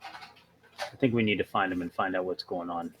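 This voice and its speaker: Hesitant man with a lowish voice. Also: steady tone, normal speed, a bit bright, a little hiss or hum, rough recording. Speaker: tabletop game player. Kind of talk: casual in-character role-play chat.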